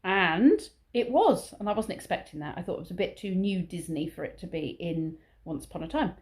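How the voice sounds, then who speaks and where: Woman, mellow warm tone, chatty rambling vlog talk. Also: on the low side, animated, fairly quick. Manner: rambling, chatty, self-aware waffling. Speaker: vlogger. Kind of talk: casual vlog monologue.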